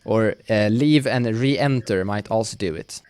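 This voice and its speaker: A man's voice: on the low side, unhurried, a little mellow, a little hiss or hum. A man acting as game master on a live stream, narrating casually and often backtracking mid-sentence.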